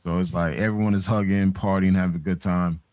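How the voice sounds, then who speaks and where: Man, middle-aged, American accent, lowish pitch, dry recording, casual debate, emphatic, swears freely. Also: fairly even intonation, a little fast, a little mellow, no room sound. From United States, Haitian background. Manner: emphatic, swearing, repeats himself. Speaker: talk show participant. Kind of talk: casual opinionated discussion.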